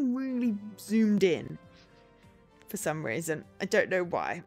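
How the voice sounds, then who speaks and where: A woman's voice: sits high, a little mellow. A woman chatting casually and in a rambling way as a game streamer on a livestream. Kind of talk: casual livestream chat and reading game dialogue aloud.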